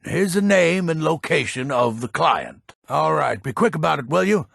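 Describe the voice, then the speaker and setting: Game role: haughty old mage-lord, grumpy and curt, heavily gravelled and husky, with wide swings in pitch. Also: male voice, big pitch swings, moderate pace, fairly bright tone, dry recording, studio quality, full character voice. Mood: gruff, brisk. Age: older. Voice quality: gravelly, raspy. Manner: gruff, curt, impatient. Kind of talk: video game character dialogue.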